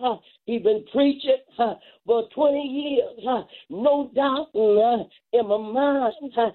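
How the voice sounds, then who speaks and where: Slow, high-voiced woman preaching fervently. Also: narrow, tinny audio. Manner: rhythmic short phrases with repeated exclamations of praise and punctuating grunts.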